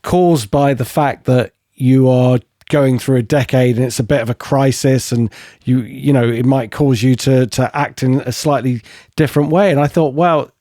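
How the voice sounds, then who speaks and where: A man's voice: mid-range voice, fairly even intonation, moderate pace, mellow, no echo, clean studio sound. A man co-hosting a podcast interview, musing and a little hesitant as he thinks aloud. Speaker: podcast co-host.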